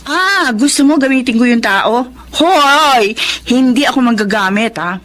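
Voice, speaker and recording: A woman's voice: on the high side, animated, measured pace, a bit bright. A woman acting an outspoken, easily exasperated young best friend in a Filipino radio drama, with a blunt, teasing manner. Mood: playful complaint.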